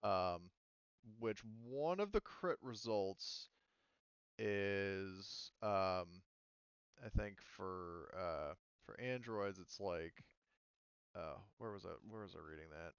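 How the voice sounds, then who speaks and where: Smooth, low-voiced man, slightly muffled recording. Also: lively intonation, slow pace, dry recording. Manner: hedging, thinking aloud while explaining rules. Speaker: game master. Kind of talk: casual post-game table talk.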